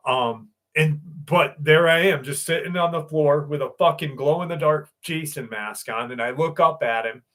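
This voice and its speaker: A man's voice: mid-range voice, a bit bright. A man hosting a live stream, telling stories in a loose, profane way and greeting viewers by name. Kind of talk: casual live stream chat and storytelling.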